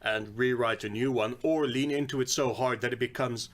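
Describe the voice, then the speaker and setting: A man's voice: mid-range voice, steady tone, normal speed. A man co-hosting a casual podcast, talking bluntly with dry jokes. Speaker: podcast co-host.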